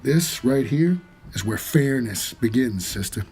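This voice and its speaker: An actor playing a wry, world-weary cab driver for the dead, hard-boiled and laconic in a noir audio drama. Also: male voice, mid-range voice, animated, unhurried, darkish tone, faint music behind, close to an everyday voice. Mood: stern, counselling. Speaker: narrator and lead character.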